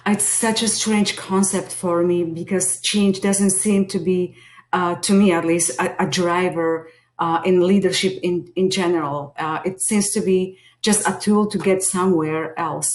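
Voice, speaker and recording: A woman's voice: lowish voice, fairly even intonation, a little slow, darkish tone. Slovak-accented woman, echoey room. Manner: earnest, many hesitations. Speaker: teacher lead and behavior analyst. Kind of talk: class introduction video.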